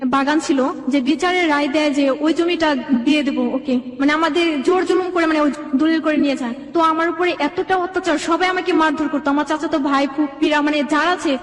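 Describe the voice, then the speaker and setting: A woman's voice: sits high, steady tone, brisk. A young Bangladeshi woman telling her own life story as an interview guest, in rambling run-on sentences. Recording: echoey room with long reverb.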